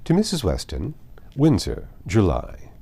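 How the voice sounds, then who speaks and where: A man narrating a classic novel aloud as an audiobook reader, in a measured, formal and expressive way with stressed phrases. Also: sits low, creaky, husky.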